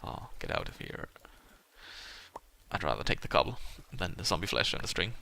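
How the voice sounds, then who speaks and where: A man's voice: low voice, big pitch swings, gravelly, sharp tone. A man from Germany with a German accent and German as his native language, chatting casually and hesitantly as he hosts a gaming video, rambling along with self-conscious asides. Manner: hesitant, halting, chuckles at himself. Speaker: Let's Play gaming video host.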